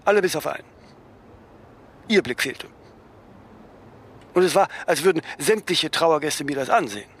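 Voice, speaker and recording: An actor playing a cool, ironic man in a radio drama, speaking in a halting, reflective way with questioning tags. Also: a little high, lively intonation, husky. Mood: reflective, grieving. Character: cool, self-absorbed, ironic man in conversation, grieving his mother.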